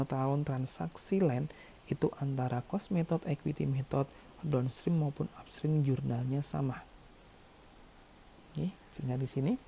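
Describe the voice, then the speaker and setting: Guy doing an accounting tutorial, really dark soft-edged voice, bit of fry, repeats stuff for emphasis, faint background noise. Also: medium pitch. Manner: repeats key phrases for emphasis, checks in often. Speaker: accounting lecturer. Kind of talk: explanatory tutorial lecture, working through figures step by step.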